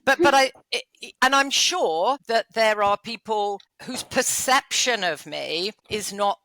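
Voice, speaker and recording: A British woman hosting a conversational interview, with a loud voice and a blunt, outspoken manner. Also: medium pitch, lively intonation, a little slow. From United Kingdom. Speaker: podcast host.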